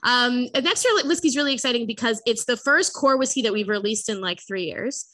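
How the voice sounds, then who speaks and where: A woman from Massachusetts hosting a casual whisky tasting, chatty and excitable with lots of fillers and restarts. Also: fairly high pitch, a little fast. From Massachusetts, USA. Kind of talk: casual live tasting chat.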